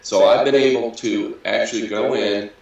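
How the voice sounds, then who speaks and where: A man in his sixties with a bassy, raspy voice, talking casually and hesitantly with many fillers as an interview guest. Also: steady tone, moderate pace, darkish tone, highs cut off. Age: 60s. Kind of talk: casual interview over a video call. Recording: echoey audio captured from a PC.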